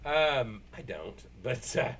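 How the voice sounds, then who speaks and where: A man hosting a casual, unscripted sports talk show, rambling and halting as he repeats himself. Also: medium pitch, sing-song, a bit bright, slightly rough.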